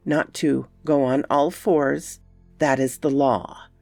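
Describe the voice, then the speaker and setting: A woman voicing a hulking, gruff beast-man who speaks in a thick voice with a whistling overtone and grunts as he talks. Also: rumbling low, lively intonation, darkish tone, no echo, soft background music, voice pushed down, full character voice. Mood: intoning. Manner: grunting, slow sing-song intoning.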